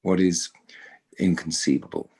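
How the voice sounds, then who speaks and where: A stonewalling company manager acted by a man testifies in a courtroom drama. His voice sits fairly low, and he answers in a guarded, defensive and evasive way. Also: a little slow, creaky, darkish tone, breathy edge, full character voice. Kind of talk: scripted courtroom drama table read.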